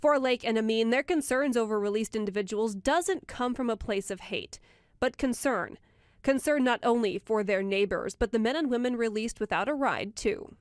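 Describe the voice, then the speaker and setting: A woman's voice: on the high side, normal speed, no room sound, clean studio sound. A woman working as a television news reporter, reading scripted narration.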